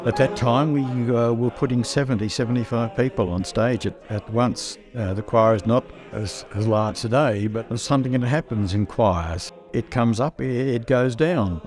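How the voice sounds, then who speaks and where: A man in his eighties, a choir member, talking in an unhurried, halting way about his memories. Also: medium pitch, a little mellow, faint music behind. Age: 80s. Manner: unhurried, halting with small restarts. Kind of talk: reminiscing interview.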